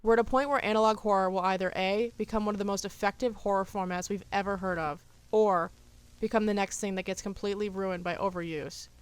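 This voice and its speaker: A woman delivering scripted opinion commentary as a video essayist, with a deadpan, emphatic way of phrasing things. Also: mid-range voice, quick pace, clean tone, no room sound.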